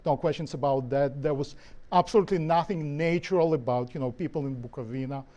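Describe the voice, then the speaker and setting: Male panel guest from Ukraine, mellow tone, pitched slightly high, thoughtful and self-correcting. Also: brisk, lo-fi sound. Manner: thoughtful, restarts often, many "you know" fillers. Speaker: author and historian, guest speaker. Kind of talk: panel discussion answer. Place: lecture hall.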